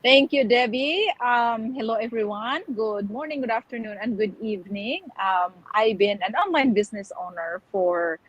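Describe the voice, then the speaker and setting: A woman from the Philippines chatting casually in an online group talk, cheerful and quick to laugh. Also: a little high, poor audio. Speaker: guest co-host.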